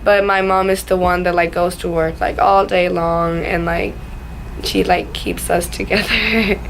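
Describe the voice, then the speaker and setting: A woman's voice: lowish voice, a little slow. A young woman from Ecuador talking casually about herself in an informal interview, peppering her speech with "like". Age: young adult. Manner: filler-heavy, frequent "like". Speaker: student interviewee. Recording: clearly noisy room recording.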